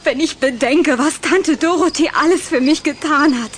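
Woman playing a coquettish, high-voiced young vampire girl. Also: normal speed, a little hiss or hum, close to an everyday voice. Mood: dramatic pity.

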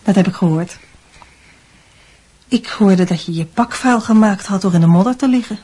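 An actress playing a middle-aged mother in a radio drama, fond but gently reproachful, asking measured, probing questions. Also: medium pitch, slightly rough, natural voice.

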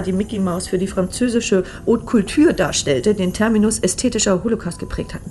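A woman acts as a skeptical female investigator in a radio drama. Her voice is mid-pitched, dry and deliberate, with faint noise and ambience behind it. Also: a little mellow, close to an everyday voice. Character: serious, skeptical woman investigator unravelling a conspiracy. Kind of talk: radio play acting, storytelling.

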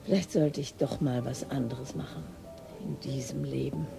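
An actress playing a wry, teasing middle-aged police chief inspector in a radio drama, speaking in a dry, colloquial, mocking way. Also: female voice, a bass voice, fairly even intonation, moderate pace, creaky, music in the background, heavily acted. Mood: distracted, dry. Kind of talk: naturalistic radio drama dialogue.

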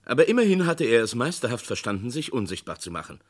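A man narrating a radio crime drama in a measured, documentary way. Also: medium pitch, big pitch swings.

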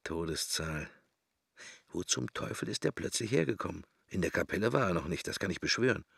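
A brooding middle-aged man in a radio drama's inner monologue. His deep voice is full of gravel and murmurs in wry, wandering fragments. The sound is bone-dry and close, with faint music behind. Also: lively intonation, brisk, a bit bright, no echo, full character voice. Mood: uneasy, wry. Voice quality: gravelly, husky. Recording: roomless, very dry studio sound.

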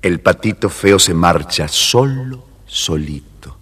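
A man's voice: deep voice, lively intonation, slow pace. A man narrating a scripted children's story as the storyteller. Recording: faintly noisy vintage story record.

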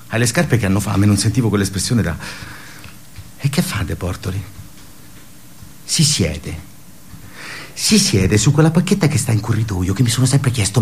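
An actor playing a brooding, darkly ironic office worker in a radio theatre monologue, halting and conversational with emphatic bursts. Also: male voice, fairly low pitch, darkish tone, a little hiss or hum. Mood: wry musing.

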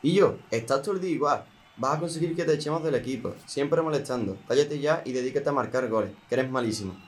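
Boy acting a quick, dismissive teen footballer. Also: medium pitch, lightly acted. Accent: Andalusian Spanish. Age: teens. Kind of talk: amateur radio drama acting.

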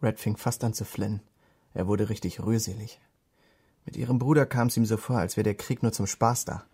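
An actor playing the watchful inner voice of a young soldier, narrating a radio drama in terse, observing phrases. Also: male voice, lowish voice, steady tone, moderate pace, a little mellow, slightly rough, no room sound. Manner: terse, present-tense, observing. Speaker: second-person narrator. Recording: studio radio play with guitar underneath.

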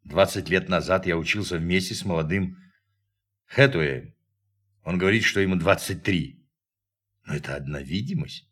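A man's voice: sits low, normal speed, long pauses, a bit bright, breathy edge. An actor playing a sober, observant spaceship crewman in his forties, reporting precisely and seriously in a radio play. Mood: serious, puzzled. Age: 40s. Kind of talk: Russian radio play acting.

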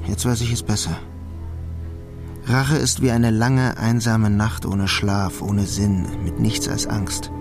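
A lovelorn young man stuck in a menial job, sardonic and bruised. His brooding delivery suits a radio crime drama. Music plays clearly beneath his voice. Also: lowish voice. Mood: bleak. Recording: radio play with loud music underneath.

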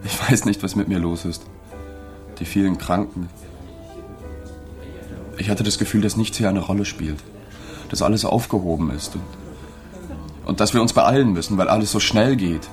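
Male actor as melancholy journalist guy narrating a radio play, deep restrained voice, bit of music behind. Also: normal speed, long pauses, close to an everyday voice. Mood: pensive. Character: subdued, melancholy male journalist narrating his own story. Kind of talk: literary radio drama narration and dialogue.

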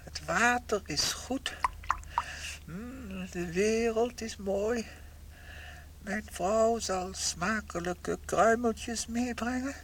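An actor playing a tiny, pious, grateful shrunken husband who talks to himself in a radio play. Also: male voice, very high-pitched, a little hiss or hum, heavily acted. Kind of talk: radio play acting, monologue.

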